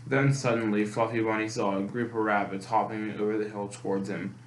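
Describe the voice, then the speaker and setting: A man's voice: fairly low pitch, level tone, a little fast. A man reading a children's picture book aloud in a storytime style. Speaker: storytime reader. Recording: echoey room with clear background noise.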